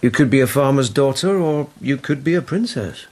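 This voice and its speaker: An actor playing a shrewd court investigator in a radio period drama, measured, wry and insinuating. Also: male voice, mid-range voice, expressive, darkish tone, natural voice. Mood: probing.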